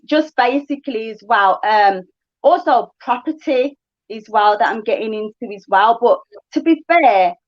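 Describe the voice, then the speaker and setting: A woman's voice: a little high, lively intonation, a little slow, lo-fi sound. A woman, a livestream guest, talks casually over a remote call, with lots of fillers and asides. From England. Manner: chatty, frequent fillers and asides.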